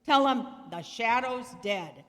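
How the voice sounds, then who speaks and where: A woman's voice: fairly high pitch, animated, slow pace, big-room sound. A woman playing a ruthless, bossy male gunman who leads a gang in a 1930s-style radio crime drama, curt and slangy. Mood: triumphant, hurried.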